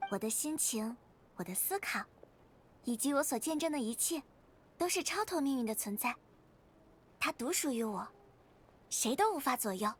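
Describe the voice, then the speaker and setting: Very high-pitched, earnest young adventurer girl. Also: animated, moderate pace, pauses often, slight background noise. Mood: earnest, resolute. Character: bright, earnest, inquisitive young woman adventurer. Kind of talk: scripted anime game voice acting.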